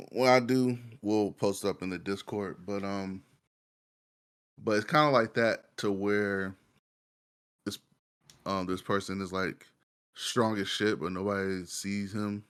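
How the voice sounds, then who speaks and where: A man with a fairly low voice talks as a panelist on a casual show. He rambles informally, dropping slang and swear words as he goes. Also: fairly even intonation, slow, long pauses, fairly bright tone, clear, resonant tone. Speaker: podcast panelist reviewing shows.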